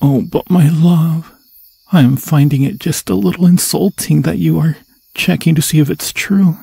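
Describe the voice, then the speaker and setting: A man voicing an obsessed undead forest demon in an ASMR fantasy roleplay, seductive and menacing, with a husky, breathy voice that often drops to a whisper and slow, theatrical, intimate phrasing. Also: fairly high pitch, fairly even intonation, dark tone, no echo, full character voice. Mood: mildly insulted. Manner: slow, theatrical, intimate, crooning endearments in archaic formal phrasing.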